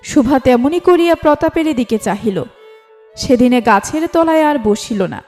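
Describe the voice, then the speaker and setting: A woman's voice: high-pitched, animated, normal speed, a little mellow. A woman reading a scripted literary story as narrator, in a formal storytelling delivery. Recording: music bed under the voice. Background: instrumental music.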